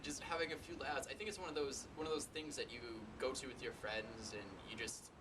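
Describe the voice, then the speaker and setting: A man's voice: fairly even intonation, fairly quick. A man in a theatre cast answering casually in an interview. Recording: distant mic, clear rumbling vehicle noise.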